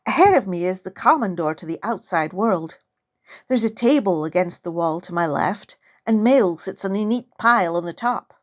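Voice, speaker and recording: A woman's voice: mid-range voice, expressive, moderate pace. A woman narrating a first-person story as its author, in a dramatic, suspenseful style. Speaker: author reading own novel.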